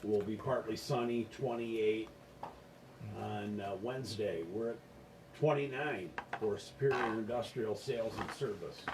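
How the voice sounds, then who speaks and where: A man's voice: on the low side, measured pace. Male local radio announcer, American, dry and laconic, recorded in an echoey, noisy room. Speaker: radio host and weather reader. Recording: echoey room with noticeable noise.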